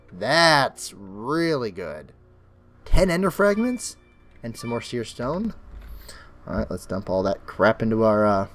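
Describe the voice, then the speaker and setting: A young man hosting his own Minecraft let's play, chatting as he plays, his voice crackly and bass-heavy from illness. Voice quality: extra bass from an allergic reaction. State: sick, crackly voice. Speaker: gaming channel host.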